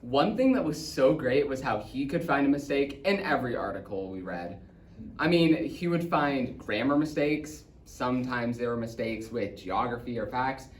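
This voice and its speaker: A man's voice: mid-range voice, normal speed, lightly acted. Man acting as an earnest young boy, echoey and distant. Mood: fond. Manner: earnest, theatrical, swinging from excited to hushed. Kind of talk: dramatic monologue in speech competition style. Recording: distant echoey room, faint noise.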